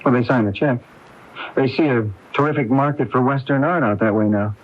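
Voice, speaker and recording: A man's voice: mid-range voice, fairly quick, close to an everyday voice. An actor playing an evasive, defensive crooked businessman who stammers under questioning in a 1980s radio drama. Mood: boastful. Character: evasive, defensive crooked businessman hiding guilt from his sister. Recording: tinny, phone-like 1980s AM radio tape.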